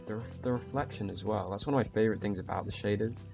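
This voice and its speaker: Soft-spoken teen boy, low voice. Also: fast, gravelly. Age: teens. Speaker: YouTuber. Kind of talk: calm commentary over gameplay. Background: faint music.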